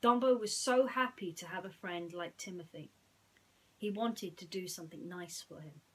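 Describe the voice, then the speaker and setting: A woman's voice: mid-range voice, a bit bright, husky, low-quality recording. A woman telling a bedtime story and its simple message to listeners as a storyteller. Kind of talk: bedtime story narration and gentle reflection.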